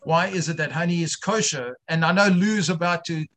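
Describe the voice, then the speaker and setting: A man with a fairly high voice leads an informal online class. He talks haltingly, repeats himself and builds his points through questions to the students. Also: a bit bright. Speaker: teacher of an online religious class.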